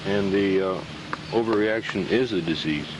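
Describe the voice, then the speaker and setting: A man explaining a subject informally and haltingly, recorded outdoors on 1970s reel-to-reel video. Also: on the low side, very noisy. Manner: halting, with frequent throat clearing. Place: outdoors beside a pond.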